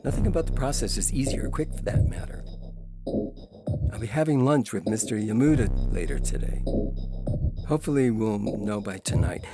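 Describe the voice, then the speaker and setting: A man's voice: fairly low pitch, expressive, normal speed, close to an everyday voice. An actor playing a weary, frustrated scientist who is a husband and father, in an audio story. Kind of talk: dramatic reading of audio fiction. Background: music.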